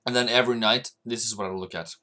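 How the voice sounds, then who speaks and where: A man with a fairly low voice talks loosely through a live trading stream. He rambles from one thought to the next and picks up viewers' comments aloud as they come in, in a relaxed, chatty way. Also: quick pace, slight background noise. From Norway. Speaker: forex trading livestreamer. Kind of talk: casual livestream chat.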